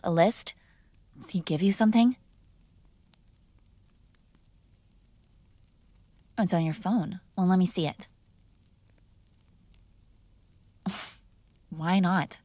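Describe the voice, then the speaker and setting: A woman's voice: medium pitch, no echo, natural voice. A woman playing a mob boss's spoiled, defiant daughter in an intimate ASMR roleplay, teasing and sarcastic in a conversational way, close to the microphone. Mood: teasing, coaxing. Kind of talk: ASMR girlfriend roleplay, intimate one-sided dialogue.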